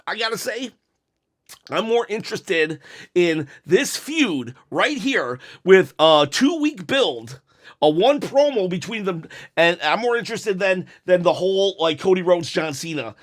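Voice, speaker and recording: A man hosting a one-take wrestling review, talking in a rambling, self-mocking, jokey way with a raspy, hoarse voice that breaks into coughs. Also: high voice, very animated, unhurried. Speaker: wrestling review channel host.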